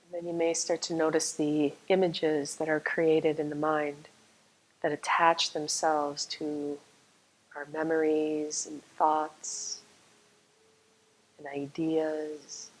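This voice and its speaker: A woman guides a meditation in a low, calm voice. Her delivery is slow and soothing, with little rise or fall in pitch. She leaves long pauses between phrases, as a yoga nidra teacher does. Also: rough recording.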